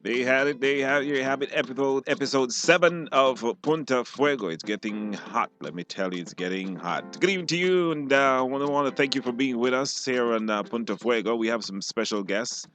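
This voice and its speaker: A man with a Belizean accent casually hosting a live radio show, halting and repeating words. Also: medium pitch, expressive, a bit bright, faint music behind. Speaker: radio call-in show host. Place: radio studio.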